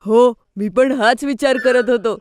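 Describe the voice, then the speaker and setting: A woman voicing an excitable, food-loving little boy in a children's cartoon, full of wide-eyed enthusiasm. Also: a little high, a little slow, no echo, studio quality, lightly acted. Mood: eager, happy. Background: background music.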